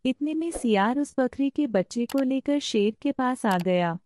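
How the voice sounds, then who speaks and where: A woman narrator reads a scripted children's fable. Her voice sits fairly high and has a soft, mellow tone. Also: expressive, measured pace.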